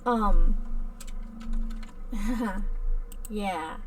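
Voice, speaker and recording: A woman's voice: mid-range voice, drawn-out words. A woman giving casual, hesitant gameplay commentary as a streamer, with offhand reactions. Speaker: video game streamer. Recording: distant mic with faint background noise.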